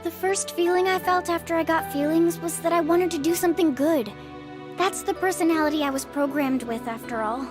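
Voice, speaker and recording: An earnest young anime-game heroine. Her voice sits very high, sincere and soft-hearted, with some hesitation. Music plays behind her. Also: fairly quick. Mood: gentle, reflective. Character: earnest, kindhearted young artificially created girl.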